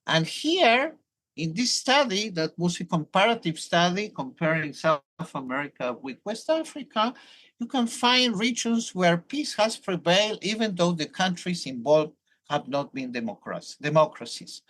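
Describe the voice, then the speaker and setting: Brief: older male academic with a Hebrew accent, thoughtful and explanatory, lively intonation. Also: sits high, moderate pace. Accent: Hebrew-accented English. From Argentina. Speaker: professor, guest. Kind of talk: academic interview.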